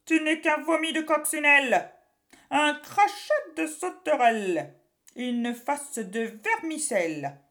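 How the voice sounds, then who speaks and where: A woman voicing a boastful, scolding witch from a children's story, with drawn-out taunts and silly insults. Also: high voice, lively intonation, a bit bright, voice pushed up, exaggerated voice. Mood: furious, scornful.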